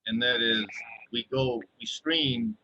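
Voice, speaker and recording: A man speaking politely and haltingly in a club meeting over a lo-fi video call. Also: medium pitch, pushed and bright. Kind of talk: meeting discussion. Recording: dull lo-fi video call audio.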